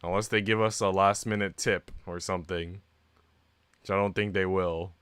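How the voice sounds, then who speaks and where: A man's voice: deep voice, fairly even intonation, moderate pace, a bit bright, clean tone, no room sound. A man chatting casually and ramblingly to viewers as a game streamer. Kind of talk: casual livestream chat. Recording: music playing behind the voice. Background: background music.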